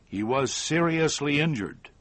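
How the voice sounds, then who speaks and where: A man reads a story as a narrator, taking his time over each phrase. His pitch moves expressively across the lines, and the recording is dry and close, with very little room sound. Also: lively intonation, fairly bright tone, no echo. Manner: measured, deliberate phrasing with short pauses. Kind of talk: scripted audiobook-style story narration.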